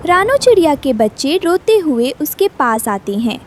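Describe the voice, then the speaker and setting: A woman's voice: high-pitched, very animated, normal speed, very noisy. A woman giving scripted narration for a children's cartoon story.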